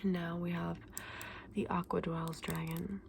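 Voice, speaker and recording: A woman acts out a kindly fantasy shopkeeper in a whispered ASMR roleplay. Her voice is low and soft, with a crackly fry at the edges. She chats gently and without hurry to her customer, over a little background noise. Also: flat delivery. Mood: soft, informative. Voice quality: soft, breathy whisper mixed with low soft speech. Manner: gentle, unhurried, hesitant, endearing customer address. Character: kindly, chatty saleswoman in a dragon egg shop.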